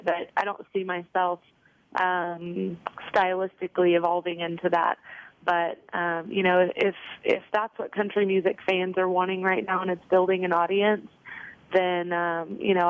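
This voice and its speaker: Young female country singer, casual and talkative, flat delivery, dry thin phone sound. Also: on the low side, no echo, phone-quality sound. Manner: chatty, many ums and you knows. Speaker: country singer, interview guest. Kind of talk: casual interview answers.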